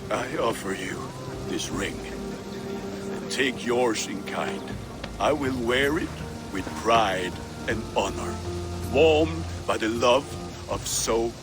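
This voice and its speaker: A tender, sentimental Viking groom, speaking slowly with lots of rise and fall in his voice. His words come out flowery and heartfelt, with music behind him in this game scene. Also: mid-range voice, lively intonation. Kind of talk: video game voice acting.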